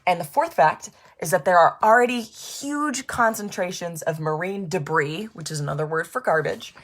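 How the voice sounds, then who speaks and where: A woman reading and explaining a storybook for children in an expressive, animated way, speaking directly to young listeners. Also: lowish voice, normal speed.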